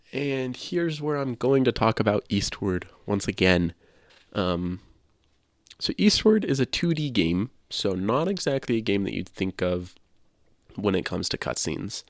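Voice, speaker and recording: A man's voice: fairly low pitch, vocal fry, dry recording, studio quality. A man hosting a podcast, sharing his opinions in casual discussion. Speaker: podcast host.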